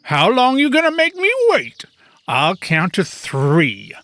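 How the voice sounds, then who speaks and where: An actor playing a small, brave, cheeky frog in an animated children's fairy tale, with a taunting, commanding, theatrical delivery. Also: mid-range voice, takes it slowly, slight background noise, heavily acted. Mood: bold, taunting.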